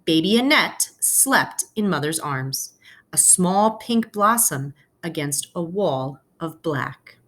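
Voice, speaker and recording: A woman's voice: deep voice, animated, slow pace, a bit bright. A woman teacher speaking to students as she reads a children's picture book aloud, explaining things informally and phrasing carefully.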